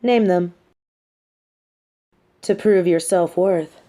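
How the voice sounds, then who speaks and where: Smug, mellow female supervillain, acted by a woman. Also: fairly low pitch, drawn-out words. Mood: smug, negotiating. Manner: smug, articulate, sardonic. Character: female supervillain, adult, smug, mean but sympathetic captor. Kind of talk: dramatic audio roleplay monologue.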